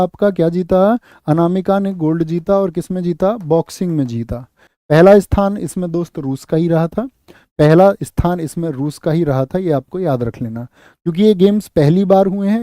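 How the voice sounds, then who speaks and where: High-voiced man drilling quiz answers. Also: warm tone, no echo, clean studio sound. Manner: asks a question then answers it himself, repeating key facts; calls listeners "saathi" and "bhaiya". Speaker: exam coaching teacher. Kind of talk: quiz-style current affairs lesson.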